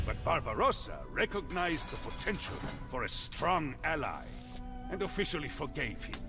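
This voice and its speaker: An actor playing a theatrical storyteller of medieval history in a video game, savoring his phrases in a dramatic, deliberate delivery. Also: mid-range voice, creaky. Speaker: narrator.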